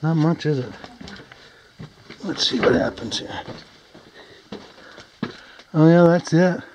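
A man's voice: a little high, expressive, slow, lo-fi sound, noisy background. Curious man exploring an underground mine. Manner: curious, thinking aloud. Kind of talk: casual narration while exploring. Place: inside an abandoned underground mine.

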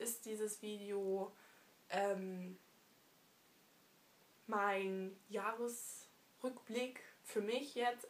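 A young woman vlogging casually from her living room with a stuffy nose from a cold, chatty and affectionate, pausing often with fillers. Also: fairly high pitch. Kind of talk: casual year-review vlog.

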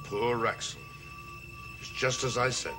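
An actor playing a grave, mysterious older gentleman with measured speech in a gothic television soap. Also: medium pitch, steady tone, natural voice. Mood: stern, condescending. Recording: old TV soundtrack, dramatic music underneath.

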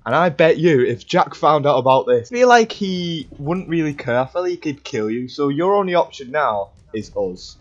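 A man's voice: fairly high pitch, sing-song, moderate pace, hardly any pauses. A young man improvising casually in character in a video game roleplay. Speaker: gaming roleplayer. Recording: dull lo-fi game mic, music behind.

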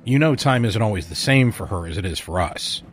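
Actor as loyal, guarded man in a radio play; lowish voice, natural conversational delivery. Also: animated, a little fast, natural voice. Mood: earnest, hesitant. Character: Tom, steady, loyal, guarded male friend of an agent. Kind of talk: naturalistic audio drama dialogue.

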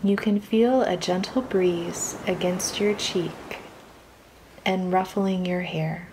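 A woman reading a scripted guided imagery meditation in a calm, soothing, measured manner with long pauses. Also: lowish voice, background noise.

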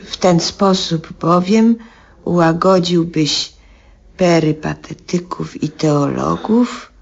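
Very slow, husky, gentle woman. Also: fairly low pitch, fairly even intonation, full character voice. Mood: grave reading. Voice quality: husky, creaky. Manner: very slow, hushed, deliberate. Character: gentle, grave woman caring for a dying man. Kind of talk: 1970s Polish radio drama acting. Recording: dull vintage radio recording.

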